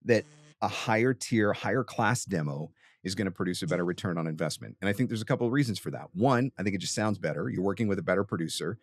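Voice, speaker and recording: A man's voice: on the low side, lively intonation, quick pace, dry recording. A man hosting a talk and giving conversational coaching advice, emphatic and repeating phrases for stress. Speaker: host and voiceover coach answering a question.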